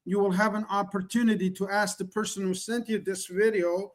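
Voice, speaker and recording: Middle-aged male presenter from the Middle East, not a native English speaker, higher voice, earnest and repetitive, on a video call. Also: flat delivery, a little fast. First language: Kurdish or Persian. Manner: earnest, repetitive, rhetorical questions. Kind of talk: online presentation, motivational pitch.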